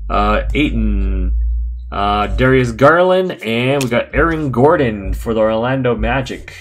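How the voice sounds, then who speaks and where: Slow-talking man listing trading cards. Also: mid-range voice, animated, poor audio. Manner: unhurried, reads off card names in a list. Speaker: card break host. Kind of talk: casual live card-break commentary. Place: indoor room at a table.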